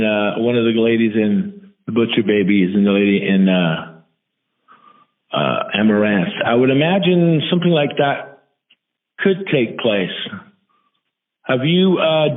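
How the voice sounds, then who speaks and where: A man hosting a casual radio interview, asking questions in a laid-back, halting way. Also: medium pitch. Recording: thin phone-like audio.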